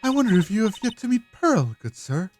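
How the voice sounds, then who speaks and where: A woman's voice: medium pitch, very animated, a little fast, a little mellow, faint music behind, voice pushed up, natural voice. A woman voicing a stern, haughty middle-aged spirit medium from a video game, prim and stately with archaic politeness. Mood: stern, formal.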